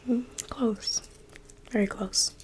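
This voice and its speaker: A woman's voice: a little high, steady tone, slow, a little mellow, soft background music. A young woman whispering an ASMR roleplay as a museum guide, playful and teasing like a salesperson.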